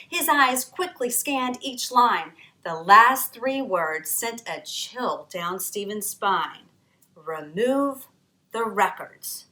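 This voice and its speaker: A woman author reading her own children's history book aloud in a dramatic, expressive storytelling manner. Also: fairly high pitch, echoey room, low-quality recording. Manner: dramatic, varied storytelling delivery with emphatic pauses.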